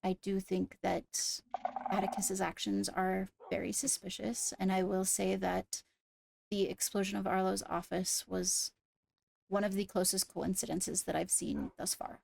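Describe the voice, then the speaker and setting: A woman acts out a diplomatic female leader of a fantasy game court. The voice has a noticeable creak at an average pitch. Phrasing is formal, careful and measured, as in improvised roleplay. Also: flat delivery, darkish tone. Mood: cautious, deliberate.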